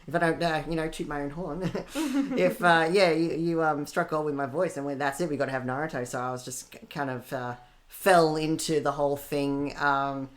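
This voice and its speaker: A man's voice: on the high side, fairly even intonation, moderate pace. A man with an Australian accent, chatting informally as a voice actor being interviewed. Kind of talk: casual chat.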